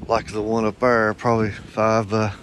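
A man's voice: fairly low pitch, monotone, moderate pace. A man giving a rambling, folksy walkaround of his farm, recorded outdoors on a handheld phone. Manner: rambling, folksy, thinking aloud with many fillers. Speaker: farm owner vlogger. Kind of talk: casual walkaround vlog. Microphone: phone held while walking. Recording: wind noise on phone mic outdoors. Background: wind and outdoor ambience.

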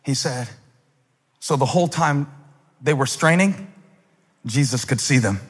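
A man in his forties preaching a sermon on a church stage into a handheld mic, telling a story and then building to loud, fervent emphasis. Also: medium pitch, measured pace, husky. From United States. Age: 40s. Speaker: pastor. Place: large stage in a church hall. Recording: long hall reverb.

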